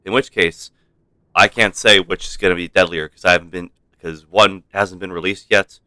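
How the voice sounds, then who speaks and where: A man's voice: sits low, fairly even intonation, moderate pace, no room sound, low-quality recording. A man streaming a game with casual, playful, rambling commentary for his chat. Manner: rambling, playful, mock-stern. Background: soft game music.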